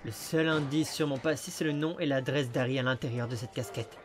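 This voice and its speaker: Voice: mid-range voice, brisk, lightly acted. A performer voicing a small talking creature, a cocky amnesiac detective with a sarcastic, dramatic, wisecracking manner, in a French film fan dub. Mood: explaining, dramatic. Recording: music behind the voice.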